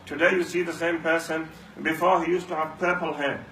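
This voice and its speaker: A man's voice: fairly high pitch, level tone, fairly quick, pushed and bright. Man preaching in echoey hall. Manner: storytelling, repeats lines for emphasis. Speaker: mosque speaker. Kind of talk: Ramadan talk to a congregation. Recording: echoey room, mic far off, faint hum.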